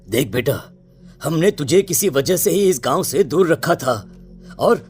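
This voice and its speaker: A man playing an anxious, stern village father in an animated story drama. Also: a little high, unhurried, breathy edge, faint music behind. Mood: stern worry. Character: worried, stern village father of a grown son.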